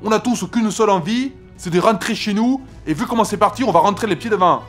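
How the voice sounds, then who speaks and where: A man's voice: high voice, fast talker, fairly bright tone, soft background music. A man playing a frustrated young French First World War corporal, blunt and argumentative. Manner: blunt, exasperated, argues hard. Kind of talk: amateur comedy audio drama.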